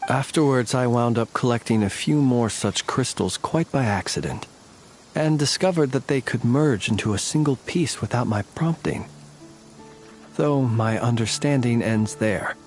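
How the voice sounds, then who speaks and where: A man's voice: medium pitch, a little slow, faint music behind, natural voice. An actor playing a courtly, world-weary, proud and stoic male warrior in a video game, speaking in formal, archaic phrasing. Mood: measured, explaining. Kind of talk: video game voice acting, scripted dialogue.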